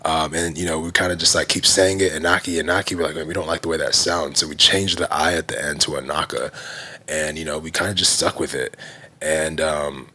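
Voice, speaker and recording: A man in his thirties with a very low, deep voice tells his story in a loose, casual way, leaning on fillers as he goes. He talks as a podcast guest. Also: a little fast. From Nigerian heritage. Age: 30s. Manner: relaxed, heavy use of fillers like "you know" and "like". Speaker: guest, fitness brand founder.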